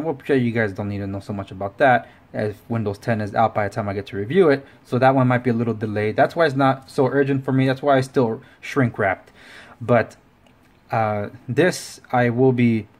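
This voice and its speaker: A man's voice: mid-range voice, darkish tone. A man hosting a casual tech review, talking informally and rambling with self-correcting asides. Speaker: tech review show host. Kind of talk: casual video review talk to camera.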